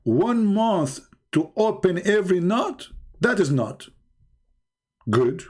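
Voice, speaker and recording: A man's voice: sits high, big pitch swings, slow pace, a little mellow, breathy edge. A man hosting a live call-in debate show, questioning callers bluntly and pressing them on their claims.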